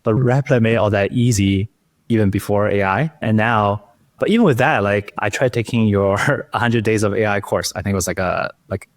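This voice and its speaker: A man's voice: lowish voice, normal speed, no echo. A man hosting a podcast, speaking in an informal, conversational way. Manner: informal, peppers speech with "like". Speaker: podcast host. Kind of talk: casual interview chat.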